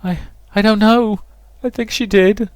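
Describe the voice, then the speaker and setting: A man's voice: high voice, steady tone, measured pace, clear, resonant tone, dry recording, pitched above the performer's own voice. A man voicing a frightened, pleading young girl in a visual novel, stammering and distressed. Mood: panicked, pleading.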